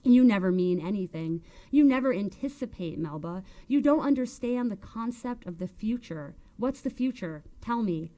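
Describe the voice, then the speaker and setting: A woman's voice: mid-range voice, lively intonation, moderate pace, few pauses, dark tone, lightly acted. A woman narrator plays a domineering, bitter woman in an audiobook. She scolds and harangues with emphatic force. Her questions come as accusations, leaving little room for reply. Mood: scolding. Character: bitter, hectoring, domineering older woman berating Melba by phone.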